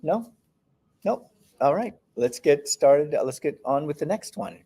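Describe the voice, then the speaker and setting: A man's voice: on the high side, animated, measured pace, gravelly. A man hosting a film festival screening, talking casually and hesitantly with many fillers.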